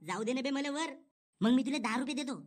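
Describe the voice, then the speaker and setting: A man's voice: very high-pitched, fast talker. Man playing a stammering, pleading cartoon son-in-law. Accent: rural Maharashtrian. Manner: stammering, wheedling. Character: hapless, drink-loving bald son-in-law, pleading and scheming. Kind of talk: comedy cartoon dubbing.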